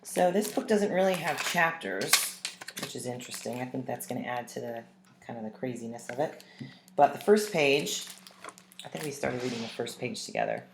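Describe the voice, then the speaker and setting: A woman reading a children's story aloud with expressive, deliberate phrasing that leans on the dialogue. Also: rumbling low, slight background noise. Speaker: read-aloud narrator. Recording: roomy, echoing room sound.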